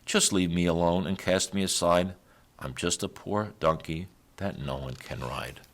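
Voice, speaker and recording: A man's voice: low voice, a little slow. A man voicing a small, weak young donkey in a storybook, frightened, pleading and full of self-doubt. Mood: sad, pleading. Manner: pleading, doleful.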